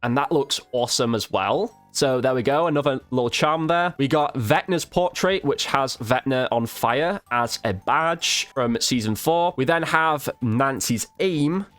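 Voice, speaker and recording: A man's voice: medium pitch, measured pace, hardly any pauses, fairly bright tone. A man giving casual, informal game review commentary as a video host, chatting directly to his viewers.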